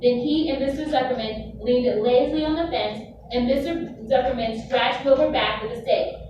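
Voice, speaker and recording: A woman's voice: on the high side, normal speed, dull audio. A woman teacher reading aloud and leading a discussion with her class. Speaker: middle school teacher. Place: indoor classroom. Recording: very echoey classroom, distant mic, noisy.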